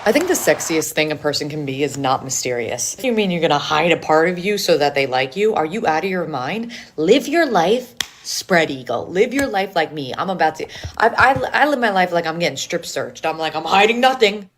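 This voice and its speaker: A woman's voice: sits low, lively intonation, moderate pace, slight background noise. A woman giving a brash, self-mocking, casual comedic monologue.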